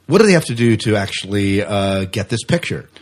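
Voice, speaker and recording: A man's voice: fairly low pitch, animated, brisk. A man hosting a podcast in casual banter, chatty, jokey and enthusiastic, often repeating himself for emphasis. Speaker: podcast host.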